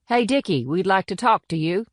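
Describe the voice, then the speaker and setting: A man's voice: high-pitched, animated, moderate pace, no room sound, clean studio sound, voice pushed up. A man voicing a firm, plainspoken small-town policewoman who defends her townsfolk in audiobook dialogue. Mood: urgent, calling out.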